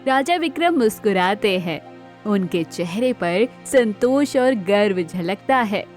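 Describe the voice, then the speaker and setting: A woman's voice: medium pitch, expressive, takes it slowly, faint music behind. A woman narrating a scripted children's moral story as the storyteller.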